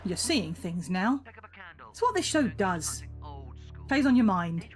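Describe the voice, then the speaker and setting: A woman's voice: medium pitch, very animated, slow pace, soft background music. A woman playing a sceptical, dismissive television viewer in an audio drama, with a scolding, matter-of-fact manner.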